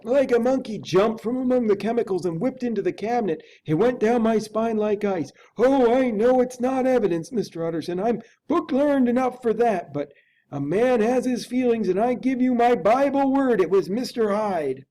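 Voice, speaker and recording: A man's voice: extremely high pitch, lively intonation, normal speed, dark tone, pitched above the performer's own voice, low-quality recording. A man voicing an anxious, earnest and deferential Victorian butler in a dramatic reading of a classic novel, with urgent, folksy servant's speech. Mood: earnest, fearful.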